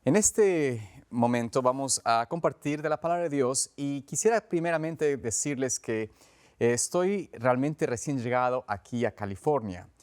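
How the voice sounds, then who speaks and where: A man's voice: mid-range voice, unhurried, darkish tone, clear, resonant tone. A man with an Ecuadorian accent preaching as a pastor in a conversational, earnest, explanatory way, often rephrasing as he goes. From Quito, Ecuador. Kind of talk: conversational sermon.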